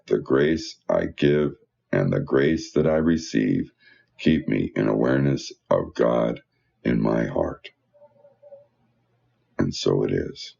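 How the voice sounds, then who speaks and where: Really deep gravelly guy reading out church newsletter stuff, kinda muffled recording. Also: warm tone. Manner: stumbles over words while reading. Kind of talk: read-aloud church announcements and prayer.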